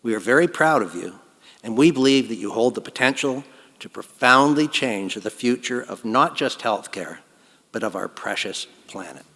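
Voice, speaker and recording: A man giving a formal, earnest convocation address at a podium, his phrases trailing off softly. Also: medium pitch, lively intonation, moderate pace, big-room sound. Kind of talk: formal ceremonial speech. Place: large hall.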